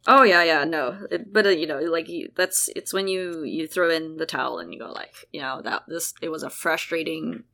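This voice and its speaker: A woman's voice: low voice, moderate pace, gravelly, hardly any pauses, sharp tone. A young woman from South Africa, guest host of a casual chat, talking in a rambling way with many fillers and restarts. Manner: chatty, rambling, full of "like" and restarts. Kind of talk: casual conversation between sisters.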